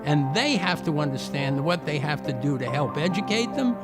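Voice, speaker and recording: A man giving a measured, formal statement on camera in support of a youth program. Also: on the high side, expressive, over music.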